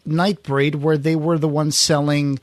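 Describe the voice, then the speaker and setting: A man's voice: fairly high pitch, flat delivery, unhurried, no room sound, studio quality. A man co-hosting a podcast, chatting casually and informatively about horror collectibles. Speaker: podcast host.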